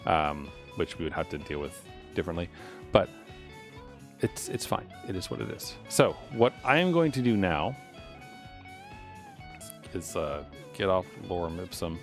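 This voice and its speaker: A man's voice: sits low, very animated, a little slow, long pauses, soft background music. A man streaming live as he codes, talking casually and unscripted, rambling as he thinks aloud. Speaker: live streamer coding a game.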